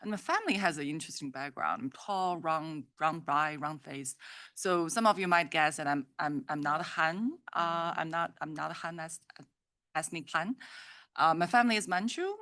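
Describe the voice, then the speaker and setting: A woman's voice: sits low, moderate pace, a bit bright, no room sound, studio quality. A woman from China speaking as a panelist, with hesitant restarts and wry asides. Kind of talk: panel discussion.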